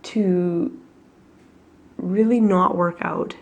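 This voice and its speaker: A woman with a cold giving a chatty, reflective weekly tarot reading at home, her voice husky and her talk full of fillers. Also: on the low side, steady tone, extremely slow. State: has a cold, coughing. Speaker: tarot reader.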